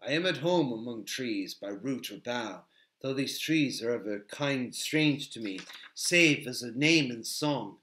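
A man's voice: medium pitch, expressive, measured pace, fairly bright tone, voice pushed down. A man voicing a grave, wistful male elf who recites verse and lore in a lyrical, solemn storybook manner. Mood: calm. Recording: roomy, echoing sound.

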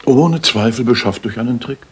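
An actor playing a keen, precise, authoritative gentleman detective in a German radio drama from the 1980s. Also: mid-range voice, moderate pace, breathy edge. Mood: commanding. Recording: slightly noisy retro radio recording.

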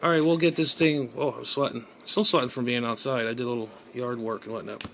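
A man's voice: medium pitch, fairly even intonation, fast, fairly bright tone. Man muttering casually over background noise. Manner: hesitant, muttering, thinking aloud. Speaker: amateur radio hobbyist vlogger. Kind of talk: casual unscripted gadget unboxing commentary. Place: small bedroom, indoors. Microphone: phone at arm's length. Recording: noisy phone recording with room sound.

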